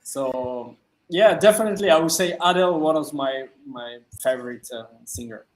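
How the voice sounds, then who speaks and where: A man from France, a music producer answering interview questions in halting English full of fillers. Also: medium pitch, low-quality recording. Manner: halting, many "uh" fillers and restarts, simple non-native phrasing.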